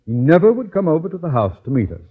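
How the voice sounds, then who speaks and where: A man's voice: on the high side, sing-song, quick pace, husky. An actor playing an indignant, self-important older man in an old-time radio comedy, telling his story emphatically. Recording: narrow, tinny vintage radio recording.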